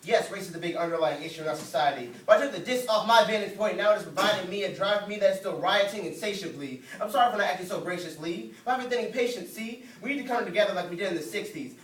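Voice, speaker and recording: A man's voice: high voice, fast, few pauses, a bit bright. A man performing slam poetry as a spoken word poet, with a rhythmic, rhyming, emphatic delivery. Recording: roomy, distant mic, faint noise.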